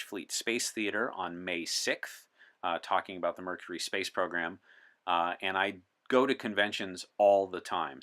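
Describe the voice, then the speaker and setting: A man chatting as an interview guest, earnest and deliberate, with playful dry humor. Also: low voice, a bit bright. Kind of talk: in-character interview chat as a time traveler from 1964.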